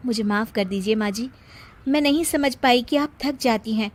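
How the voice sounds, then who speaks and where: A woman voicing a young, overworked daughter-in-law in a cartoon story, talking back quickly and with exasperation. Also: a little high, close to an everyday voice. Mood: warm. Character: young working daughter-in-law, busy, defensive.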